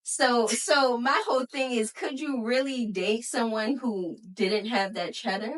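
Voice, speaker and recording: A woman's voice: on the high side, a little slow, distant mic, rough recording. Blunt, slangy woman in her twenties. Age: 20s. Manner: frank and blunt, slangy, trails off and restarts. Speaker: podcast co-host. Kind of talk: casual chat.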